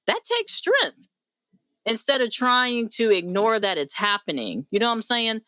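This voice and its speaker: A woman's voice: a little high, sing-song, a little slow, pushed and bright, no echo, clean studio sound. A woman hosting a radio panel discussion, speaking in an encouraging, conversational and emphatic way. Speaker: host; mental health professional.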